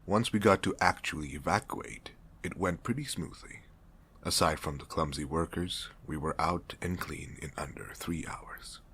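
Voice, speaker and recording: A man's voice: sits low, moderate pace, a bit bright, slight background noise. A man playing a stern, impatient manager in a crime ring, with a clipped, exacting delivery, in an audio drama. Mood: formal, disapproving. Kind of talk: scripted audio roleplay acting.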